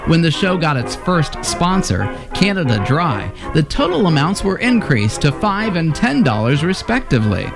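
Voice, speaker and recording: Lively male narrator over music. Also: a little high, normal speed, gravelly, few pauses. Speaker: narrator of radio history series. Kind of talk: scripted narration.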